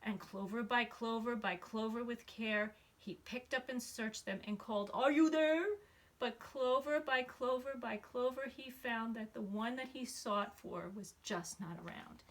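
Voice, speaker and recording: Bright-voiced woman reading a children's story. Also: on the high side, unhurried, hardly any pauses. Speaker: storybook reader, school staff member. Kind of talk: expressive children's story narration.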